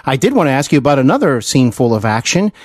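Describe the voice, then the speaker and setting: A man hosting an interview podcast, asking questions conversationally in a deliberate, emphatic way. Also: mid-range voice, very animated, darkish tone, no echo, clean studio sound.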